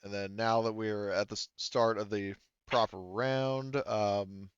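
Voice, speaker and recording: A man with a low, smooth voice runs a tabletop game. He talks casually and haltingly, thinking aloud through the rules. The sound is dry and a little dull. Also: fairly even intonation, dry recording. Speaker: game master. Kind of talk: running a tabletop sci-fi roleplaying game.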